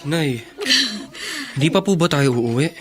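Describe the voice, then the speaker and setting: An actor playing a respectful young student, an earnest and protective son, in a Tagalog radio drama. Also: male voice, fairly high pitch, animated, unhurried, over loud music. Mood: concerned. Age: young adult.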